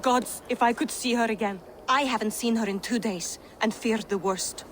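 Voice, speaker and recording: A woman's voice: a little high, normal speed, a little hiss or hum, close to an everyday voice. A woman playing a frantic, anxious mother. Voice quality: anxious, fierce. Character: frantic mother searching for her missing daughter.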